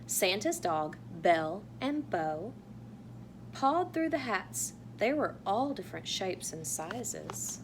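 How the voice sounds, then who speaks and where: A woman reading a picture book aloud to young children in a playful, animated way, asking exaggerated wondering questions. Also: medium pitch, takes it slowly, noisy background. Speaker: children's read-aloud host.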